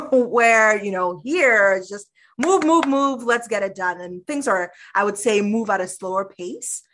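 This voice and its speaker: A woman's voice: medium pitch, unhurried, fairly bright tone, slight background noise. A woman interviewing a guest conversationally, engaged and laughing easily.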